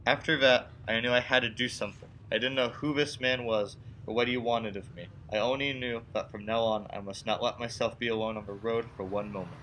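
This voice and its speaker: A man's voice: mid-range voice, fairly even intonation, fairly quick, few pauses, sharp tone, slight background noise, lightly acted, low-quality recording. A young man acting a frightened, haunted motorist in an old-time suspense radio play, earnest and dramatic in delivery. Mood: uneasy, brooding. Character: frightened, haunted young male motorist narrating his ordeal. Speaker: student actor.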